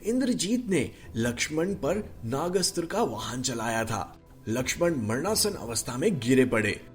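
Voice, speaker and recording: A man voicing a kindly immortal traveller who explains the past in an animated myth tale. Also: medium pitch, unhurried, soft background music. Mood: calm explaining. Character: kindly immortal traveller recounting ancient war. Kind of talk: animated mythological storybook dialogue.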